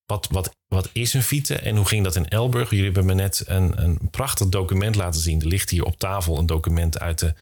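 Very deep-voiced male Dutch podcast host. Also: brisk, few pauses, a little mellow. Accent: Netherlandic Dutch. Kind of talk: scripted intro and casual interview.

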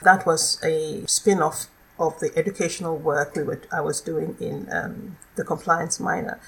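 A middle-aged woman with a Nigerian accent, a law lecturer explaining her work in a measured, articulate way as a podcast guest. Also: low-pitched, fairly even intonation, creaky, fairly bright tone, low-quality recording. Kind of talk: podcast interview.

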